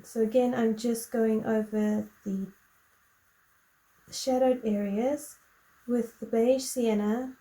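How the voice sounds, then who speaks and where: A woman with a croaky, gravelly voice talks slowly and gently while she colors at her desk. Her tone is mellow and fairly high, and a lingering cough roughens it. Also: level tone, poor audio. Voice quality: slow, soft-spoken and gentle. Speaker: coloring tutorial host. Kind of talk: relaxed chatty tutorial.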